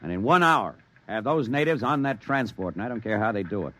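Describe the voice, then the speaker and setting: An actor playing an impatient army general who barks curt orders in a 1950s radio drama. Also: male voice, fairly low pitch, lively intonation, brisk, a bit bright. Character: impatient gruff general, middle-aged man. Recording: 1950s radio recording with faint hiss.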